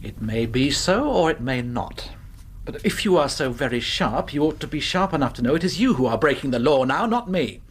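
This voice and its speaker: An actor playing a cornered, defiant English swindler in a radio drama, blustering and defensive. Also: male voice, mid-range voice, sing-song, normal speed, breathy edge. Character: defiant, weaselly male swindler stepfather, cornered villain. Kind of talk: British radio drama acting.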